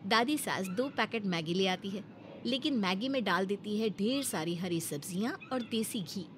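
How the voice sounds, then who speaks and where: A woman's voice: medium pitch, expressive, normal speed, very noisy. A woman storyteller giving scripted narration for a cartoon moral tale.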